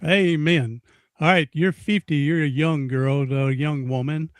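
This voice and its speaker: A man's voice: on the high side, fairly even intonation, unhurried, no echo, clean studio sound. A man in his sixties hosting a podcast interview, folksy and rambling, often repeating himself. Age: 60s. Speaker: podcast host. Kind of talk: casual interview chat.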